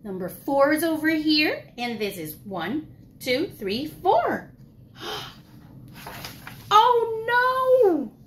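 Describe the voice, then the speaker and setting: A woman preschool teacher reading a picture book aloud to young children in an exaggerated, expressive way, asking questions as she goes. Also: sits high, drawn-out words, long pauses, a little hiss or hum. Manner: gasps and drops to whispers for suspense. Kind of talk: animated children's storytime read-aloud.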